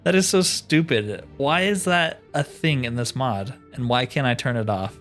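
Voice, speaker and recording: A man's voice: mid-range voice, moderate pace, fairly bright tone, faint music behind. A man giving casual, chatty let's-play commentary, often explaining himself in asides. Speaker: host of a gaming playthrough.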